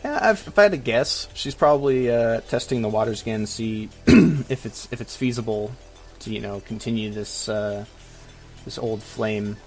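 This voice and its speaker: A man's voice: mid-range voice, moderate pace. Wry man co-hosting with music behind. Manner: wry, hedging, joking asides. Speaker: podcast co-host. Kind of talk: casual comedic advice chat.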